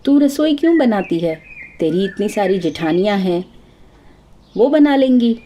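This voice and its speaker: A kindly old mother-in-law from a family fable, played by a woman storyteller, with a mellow tone. She is pious and affectionate, explaining right and wrong like a household elder. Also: medium pitch, expressive, a little slow, a little hiss or hum, natural voice. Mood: fond, questioning. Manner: moralizing, affectionate.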